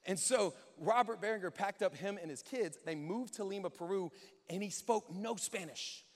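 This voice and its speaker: Male pastor, high voice, informal but emphatic sermon delivery, rhetorical questions, long hall reverb. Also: normal speed. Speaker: pastor preaching to a congregation. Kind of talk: contemporary conversational sermon. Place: large church hall, speaking to an audience.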